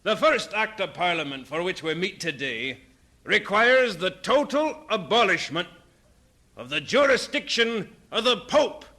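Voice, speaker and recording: An actor playing a fervent, stern Scottish reformer, preaching in declamatory radio drama oratory. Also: male voice, sits high, sing-song, unhurried, bright, forceful tone, heavily acted.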